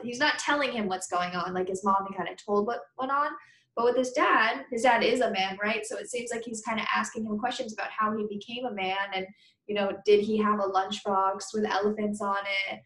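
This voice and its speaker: A woman teacher reading a children's story aloud, pausing with conversational explanations and questions for pupils. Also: mid-range voice, fairly bright tone, roomy sound, rough recording.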